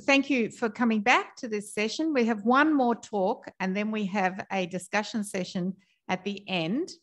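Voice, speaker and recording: A woman of middling pitch chairs a conference session, announcing breaks and introducing speakers. She is informal and a little halting, with frequent fillers. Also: fairly bright tone. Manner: informal, hesitant, many fillers. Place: conference room.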